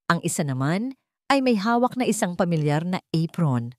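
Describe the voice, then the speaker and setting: A woman's voice: on the low side, sing-song, normal speed, no room sound, studio quality. A woman reading a scripted dramatic story as its narrator.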